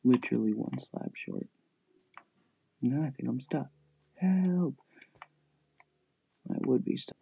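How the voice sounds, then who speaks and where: A man's voice: medium pitch, lively intonation, slow pace, gravelly, long pauses, breathy edge. A man giving casual commentary on his own gameplay, thinking aloud in short fragments. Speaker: gamer narrating own gameplay. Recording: thin phone-like mic, noisy, clicking.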